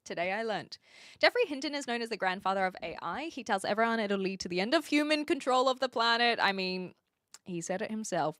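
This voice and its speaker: Woman streamer, aussie british mixed accent, bright crisp voice, dry close sound, chatty n jokey, says words carefully. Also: a little high, animated, fairly quick, no echo. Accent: neutral Australian-British mix. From New Zealand, later Australia and the UK. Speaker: livestream host. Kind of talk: casual livestream chat with viewers.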